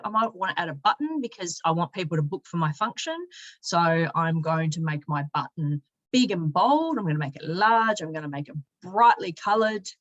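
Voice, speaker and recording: A woman narrating an informal software walkthrough, explaining each step conversationally as a product demonstrator. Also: lowish voice, muffled recording. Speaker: product demonstrator, company sales and marketing director.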